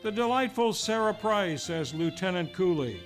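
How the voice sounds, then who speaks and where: Male host, high-pitched, florid golden-age radio announcing, music underneath. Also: expressive, normal speed. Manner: grand, florid showman delivery. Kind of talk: theatrical old-time radio announcing. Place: radio show performed live in a theater.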